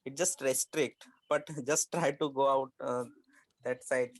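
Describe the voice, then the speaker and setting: Dry close sound, mid-pitched man, halting, informal reflection. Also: steady tone, no echo. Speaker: workshop participant.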